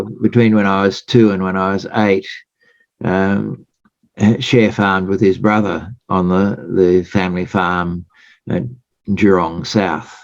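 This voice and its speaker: A man with an Australian accent, a guest in an interview, speaking in a measured, hesitant way with many pauses. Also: on the low side, darkish tone, dry recording. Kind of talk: casual interview chat. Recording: dry, dull lo-fi sound.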